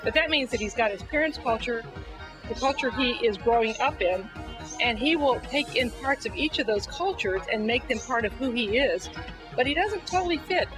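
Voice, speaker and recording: A woman calmly explaining what third culture kids go through, in an interview for a ministry video. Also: a little high, normal speed, rough recording. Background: music.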